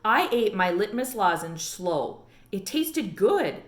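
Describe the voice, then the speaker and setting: A woman reading a children's book aloud in an expressive storytelling style. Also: medium pitch, slow, reverberant. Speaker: read-aloud reader.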